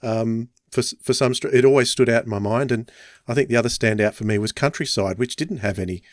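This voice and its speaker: A man hosting a podcast in a conversational way, picking his words with pauses. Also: medium pitch, no room sound, clean studio sound. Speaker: podcast host.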